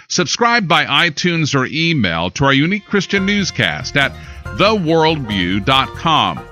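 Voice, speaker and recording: A man's voice: fairly high pitch, bright, forceful tone. An American man reading scripted news in a studio with dramatic emphasis. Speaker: newscaster.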